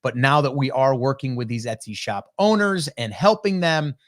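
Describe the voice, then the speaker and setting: Slightly hoarse upbeat male podcast host. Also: mid-range voice, moderate pace, fairly bright tone. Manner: chatty, upbeat, loose with fillers. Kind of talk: casual livestream podcast chat. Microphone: desk mic on a video call.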